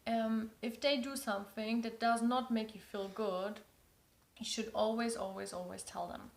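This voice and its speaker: A woman's voice: a little high, steady tone, normal speed, vocal fry, echoey room. A woman with a German accent, a non-native English speaker, giving earnest relationship advice and repeating words for emphasis. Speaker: relationship coach. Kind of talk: advice talk to camera.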